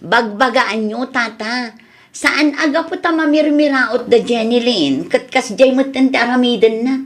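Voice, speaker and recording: A woman's voice: fairly high pitch, a little slow. An actress with an Ilocano accent playing an outspoken village woman in a radio comedy drama. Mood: reproachful.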